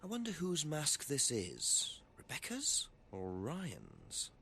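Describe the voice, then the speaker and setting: An actor playing a curious detective musing aloud over clues in a filmed mystery game. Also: male voice, a little high, huge pitch swings, takes it slowly, creaky, sharp tone, a little hiss or hum, exaggerated voice.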